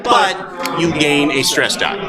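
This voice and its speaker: A man hosting and narrating a live tabletop game as game master, with a halting, theatrical delivery. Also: a little high, sing-song. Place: live stage at a gaming convention. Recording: loud convention crowd behind, live hall. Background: loud crowd.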